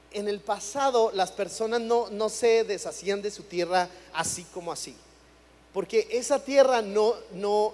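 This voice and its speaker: A high-voiced young man from Chihuahua, Mexico, preaching a sermon in a conversational tone and often doubling words as he begins a thought. His voice carries a long reverb through a church hall. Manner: conversational, repeats words when starting phrases.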